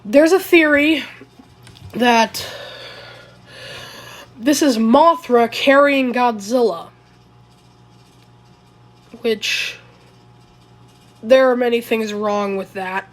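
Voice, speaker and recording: A woman with a sick, raspy voice, pitched a little high, talks slowly through a casual commentary video. She rambles, hesitates and keeps correcting herself. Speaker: video creator.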